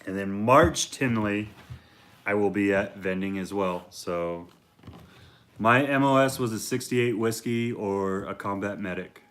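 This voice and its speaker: Casting note: deep-voiced man in his thirties, easygoing vlogger, rambles through channel updates. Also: unhurried. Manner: relaxed and rambling, many fillers. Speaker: reptile vlogger. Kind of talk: casual talk to camera.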